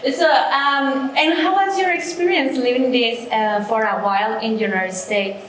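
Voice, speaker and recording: Halting woman host, echoey. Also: a little high, a little hiss or hum. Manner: halting, searching for words. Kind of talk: casual interview questions. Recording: very echoey room, faint ambience.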